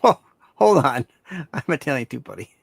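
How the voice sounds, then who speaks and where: A man chatting casually on a wrestling fan panel in a halting, easygoing way, often repeating himself. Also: medium pitch, very animated, gravelly, breathy edge, dry recording. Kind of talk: casual chat.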